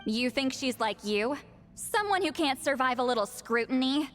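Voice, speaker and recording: An irritable, condescending shaman elder with a surprisingly youthful, high voice. Her delivery is blunt and very animated, in video game style. Music plays softly behind her. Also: moderate pace. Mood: challenging, haughty. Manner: brusque, condescending. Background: background music.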